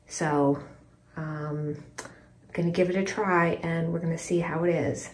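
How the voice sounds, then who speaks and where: A woman in her forties chatting casually in an expressive, emphatic way, as a home cleaning vlogger. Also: low-pitched, a little slow. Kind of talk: casual vlog chat and narration while cleaning. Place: small tiled bathroom, indoors. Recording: roomy bathroom echo, distant mic.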